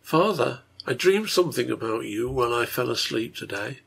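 A man voicing a gentle, pious young girl on her sickbed in a story, speaking quietly and earnestly to her father. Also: mid-range voice, expressive, moderate pace, vocal fry, husky. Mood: intense recounting. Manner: quiet, tender, intent delivery.

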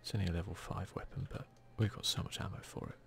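A man's voice: low voice, fairly even intonation, fast talker, creaky, fairly bright tone, slight background noise. A man with a British accent giving casual, whispered ASMR commentary as he plays a video game. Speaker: gaming video host.

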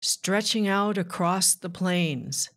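Slow-paced woman reading aloud to children. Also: on the low side, fairly bright tone. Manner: measured, deliberate phrasing addressed to children. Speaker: children's author and narrator. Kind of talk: read-aloud of a rhyming children's nature book.

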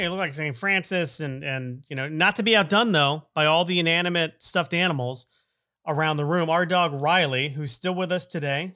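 A man's voice: on the high side, sharp tone. A middle-aged man hosting a podcast, talking informally and emphatically, often repeating phrases for effect. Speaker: podcast host. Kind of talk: conversational podcast intro and interview.

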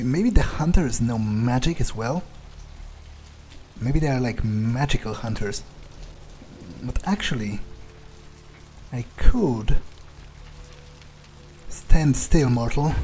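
A man improvising in-character game narration, halting and sweary as he mutters to himself. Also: mid-range voice, mellow. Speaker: player role-playing his game character.

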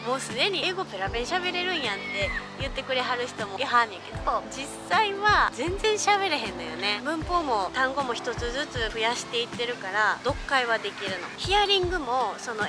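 Young woman, Kansai accent, very fast talk, slightly high voice, animated vlog chat, outdoor park, music behind. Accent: Kansai Japanese. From Japan. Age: young adult. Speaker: vlogger. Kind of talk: casual vlog talk to camera. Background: background music.

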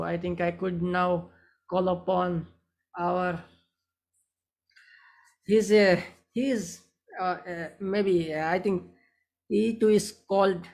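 Gravelly-voiced host, halting and polite. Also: mid-range voice, darkish tone. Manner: hesitant, polite, repeats words and phrases. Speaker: host of an online poetry show. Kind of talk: hosting a live poetry gathering. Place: online video call.

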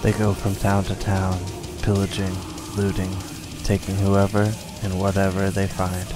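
Man playing a deep, flat-voiced weary survivor. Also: measured pace, over music. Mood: grim, weary. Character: weary, bitter male survivor narrating his captivity. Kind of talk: post-apocalyptic radio play narration.

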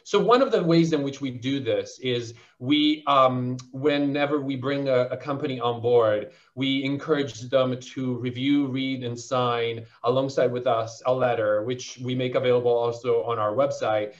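A man's voice: medium pitch, fairly even intonation, normal speed, hardly any pauses. Man speaking over dull lo-fi call audio. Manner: articulate, fluent with frequent um fillers and restarts. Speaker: panelist, venture investor. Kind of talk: panel discussion answer.

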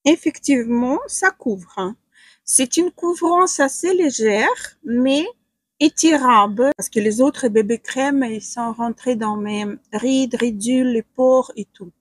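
A woman's voice: on the high side, slow pace, breathy edge, no echo. A woman in her forties from Ukraine, speaking with a Ukrainian accent as a non-native speaker, chatting casually through a makeup tutorial at home with frequent hesitant fillers. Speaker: beauty vlogger. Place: small room at home, indoors.

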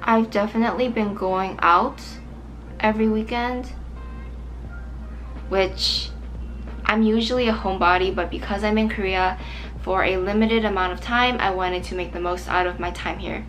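Chatty young woman, slightly hoarse voice. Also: mid-range voice, fairly even intonation, moderate pace, a bit bright, soft background music, rough recording. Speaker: vlogger. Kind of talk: casual makeup chat to camera. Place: small bright room indoors.

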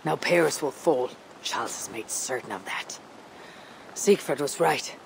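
A woman playing a stern, weary Viking warrior leader in a video game, grave and measured. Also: extremely low pitch, expressive, creaky, slight background noise, exaggerated voice, low-quality recording. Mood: grim. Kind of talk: dramatic game cutscene acting.

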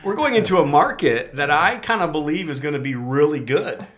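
A man's voice: on the high side, fairly quick. A man in his forties co-hosting a podcast in casual banter, playful and teasing, on a desk mic in a small office studio. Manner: playful, teasing, animated with hand gestures. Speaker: podcast co-host. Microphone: desk microphone.

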